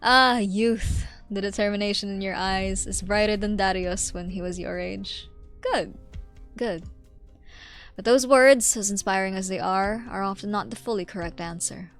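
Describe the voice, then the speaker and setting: Old male inquisitor, read by a woman in her everyday voice, solemn, oratorical game dialogue, soft music behind. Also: mid-range voice, normal speed, close to an everyday voice. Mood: reflective, grave. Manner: formal, reflective, oratorical. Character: grave, eloquent old male inquisitor saint.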